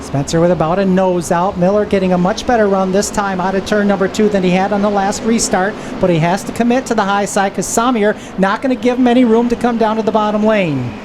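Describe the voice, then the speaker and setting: A woman's voice: mid-range voice, steady tone, fast talker, hardly any pauses. A woman giving live stock car race commentary as a trackside announcer. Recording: loud vehicle noise behind. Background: race cars and trackside noise.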